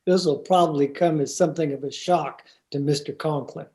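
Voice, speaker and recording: Mild-mannered schoolteacher played by a woman: hesitant, deferential radio comedy delivery, mellow and slightly low. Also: fairly even intonation, fairly quick, a little hiss or hum. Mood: hesitant, apologetic. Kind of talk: old-time radio comedy acting.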